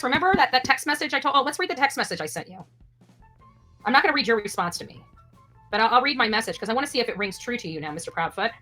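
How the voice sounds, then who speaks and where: A woman's voice: a little high, bright, forceful tone. A woman YouTuber talking off the cuff in a brash, mocking, repetitive way. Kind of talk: unscripted, taunting online monologue.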